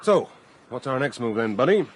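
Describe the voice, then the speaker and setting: An actor playing a brash, sentimental Canadian millionaire with a North American accent in a comic radio drama, theatrical in manner. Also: male voice, mid-range voice, very animated, normal speed, a little hiss or hum, lightly acted. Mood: insistent. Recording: faint outdoor ambience with crows. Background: crows and faint outdoor ambience.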